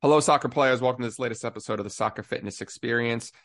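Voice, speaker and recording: A man's voice: medium pitch, rapid-fire, fairly bright tone. A young man co-hosting a podcast, upbeat and casual as he interviews a guest. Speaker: podcast co-host.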